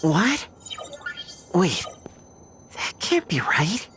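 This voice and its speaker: An actress playing a plucky, casual young female engineer in a video game cutscene, expressive and excitable. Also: lowish voice, extremely slow, over music, heavily acted. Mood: confused.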